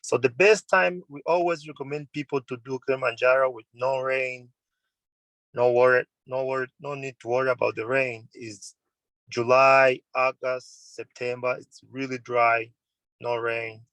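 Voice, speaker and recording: A man in his thirties from Tanzania talks through a video call. He speaks earnestly in plain, simple phrasing, restarting and repeating himself. His mid-range voice sits in a dry, quiet room. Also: medium pitch, steady tone, moderate pace, dry recording, low-quality recording. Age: 30s. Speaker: mountain guide and tour company owner. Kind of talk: webinar interview answers.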